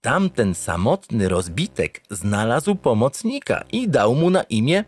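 An actor playing a naive, self-satisfied, doting spaceship captain who narrates a comic radio drama in an earnest, theatrical, pompous way. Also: male voice, medium pitch, big pitch swings, a little slow, dry recording, soft background music. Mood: excited, earnest.

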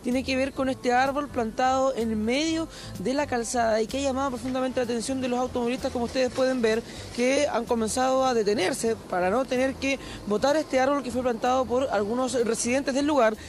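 A man's voice: very high-pitched, steady tone, a little fast, faint music behind. A young man with a Chilean accent reporting live from a city street corner with a handheld mic, fluent and formal. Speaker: field reporter. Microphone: handheld microphone.

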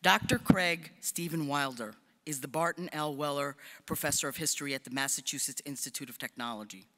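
A man's voice: on the high side, a little fast, pushed and bright. A man giving a formal introduction of a commencement speaker, with light joking asides. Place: large hall stage at podium. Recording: long hall reverb.

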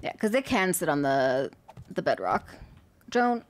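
A woman's voice: low voice, very animated, unhurried. A young woman streaming a game from home, chatting to herself as she builds, her voice a little worn. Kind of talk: casual gameplay commentary.

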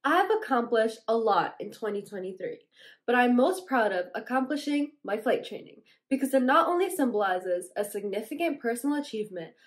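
A young teenage girl from Canada reading a polished, formal scripted statement. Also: a little high. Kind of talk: scripted news presenting.

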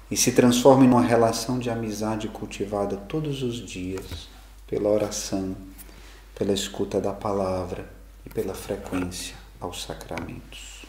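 A middle-aged priest preaches in a very low, deep voice, measured and reflective, pausing for emphasis. His voice rings in an echoey room with faint noise behind it. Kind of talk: homily.

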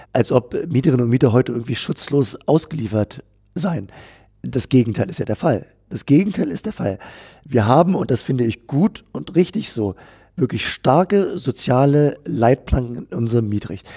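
A man being interviewed about policy, answering at length in a measured way, often repeating phrases and using fillers. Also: mid-range voice, dark tone, husky. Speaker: politician guest. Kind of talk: political interview.

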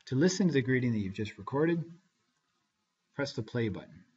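A man gives measured, step-by-step software instructions as a tutorial narrator. His voice sits at an average male pitch. The recording is a slightly muffled, lo-fi screencast voice-over. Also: expressive, breathy edge.

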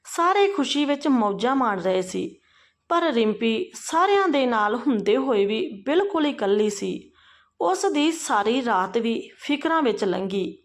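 A woman narrating an emotional family story from a script. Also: on the high side.

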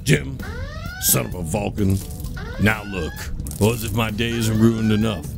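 An actor playing a grumpy, whiny starship doctor who grumbles sarcastically in a sci-fi comedy audio drama. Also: male voice, lowish voice, unhurried, creaky, over music. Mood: exasperated. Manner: grumbling, exasperated.

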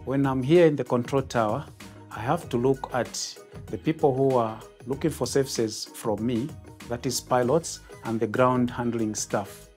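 A middle-aged Zambian man speaks at a medium pitch with soft music underneath. His delivery is formal and methodical, that of a controller explaining procedures in an interview. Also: unhurried. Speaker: air traffic controller, tower. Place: glass-walled control tower room, indoors. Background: background music.

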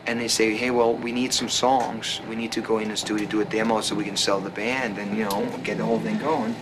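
A man's voice: lowish voice, level tone, fairly quick, noisy background. A young man from Denmark, speaking as a rock singer in a casual interview, rambling with many fillers and restarts.

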